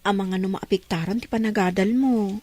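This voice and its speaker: A woman's voice: mid-range voice, steady tone, a little fast, warm tone, no echo, natural voice. A woman with a Filipino accent playing a frank, concerned young woman, a loyal friend in a radio drama. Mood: urging, frank. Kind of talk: Ilocano radio drama acting.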